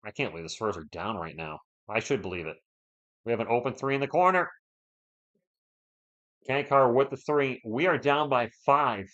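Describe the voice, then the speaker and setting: A man's voice: mid-range voice, animated, normal speed, pauses often, a bit bright. A man with a sore throat, losing his voice, doing casual live play-by-play of a basketball game while chatting with viewers. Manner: chatty, reads and answers viewer chat between plays. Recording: dull lo-fi stream audio.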